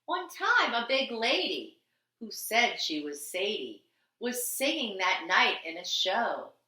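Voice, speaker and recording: A woman reading a children's picture book aloud like a teacher, with an expressive, deliberate, sing-song delivery on the rhymes. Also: medium pitch, echoey room. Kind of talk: animated children's storybook reading.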